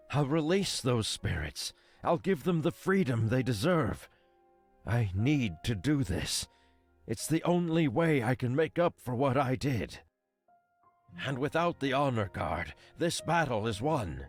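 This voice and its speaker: Casting: a man as a guilt-ridden furry ex-soldier, very slow and grave, expressive swings, visual novel drama. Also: mid-range voice, pitched above the performer's own voice. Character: remorseful, weary male furry ex-soldier, pleading earnestly.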